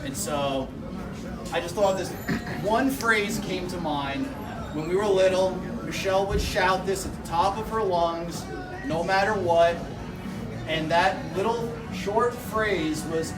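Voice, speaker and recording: A man with a high voice rambles through a joking dinner toast, leaning on "you know" at every turn. He is heard from far off in an echoey hall. Loud music and a crowd carry on behind him. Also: takes it slowly. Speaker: best-man-style speaker, bride's older brother. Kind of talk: wedding rehearsal dinner toast. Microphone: handheld microphone.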